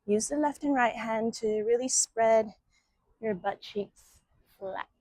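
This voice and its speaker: A woman teaching yoga, guiding meditation and breathing in a soothing, measured way with long pauses between short phrases. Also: on the high side, darkish tone, dry recording. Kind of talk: guided meditation and breathing instruction.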